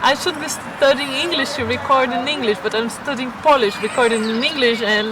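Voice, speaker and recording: A woman's voice: sits high, a little fast, a crowd in the background, low-quality recording. A middle-aged woman from southern Brazil chats casually in hesitant English with a Brazilian accent, a native Portuguese speaker. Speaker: video blogger interviewing a friend. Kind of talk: casual chat. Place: outdoors in a city park. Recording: outdoor recording with urban noise.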